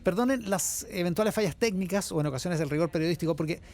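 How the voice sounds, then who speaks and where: An actor playing an earnest radio newscaster announcing a strange emergency live in an audio drama. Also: male voice, high voice, fast talker, a little mellow. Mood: urgent, serious. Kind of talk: scripted live-broadcast announcement in audio fiction.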